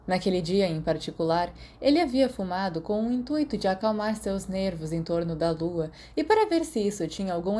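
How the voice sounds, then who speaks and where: A woman's voice: lowish voice, mellow. A woman with a Brazilian accent reads a story aloud as scripted audiobook narration. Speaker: podfic narrator.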